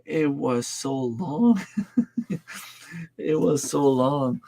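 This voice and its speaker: Slow-talking French Canadian man. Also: a little high, sing-song, vocal fry, warm tone. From Northern New Brunswick, Canada. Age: 30s. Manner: easygoing, hesitant, many fillers and restarts. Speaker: farm channel host. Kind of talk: casual livestream chat, answering viewer comments.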